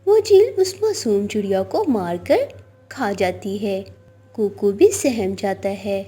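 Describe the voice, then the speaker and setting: A woman's voice: medium pitch, lively intonation, slow pace, dark tone, husky, soft background music. A woman storyteller reading scripted narration for a children's cartoon.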